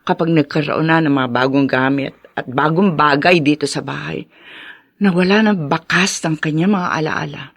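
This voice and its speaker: A woman's voice: low-pitched, moderate pace, breathy edge, no echo. A woman acting an anxious, tender and doubtful wife in a Filipino radio drama, emotive and hesitant in conversation. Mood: affectionate, wistful.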